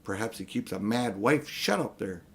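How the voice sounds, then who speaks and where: A man's voice: on the low side, expressive, normal speed, husky. A man reading aloud and voicing a curious, outspoken young girl in a children's story.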